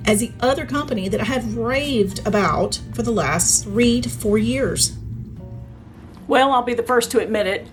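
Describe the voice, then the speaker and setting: A woman hosting a craft review vlog, chatty and enthusiastic, talking straight to her viewers while she is hoarse and losing her voice. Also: fairly high pitch. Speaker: craft channel host.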